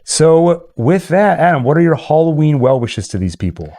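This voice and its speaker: High-voiced man hosting a casual livestream. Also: very animated, normal speed, dark tone. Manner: chatty, jokey, rambling. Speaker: livestream host. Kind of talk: casual livestream chat and sign-off. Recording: music playing behind the voice. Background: Halloween novelty songs.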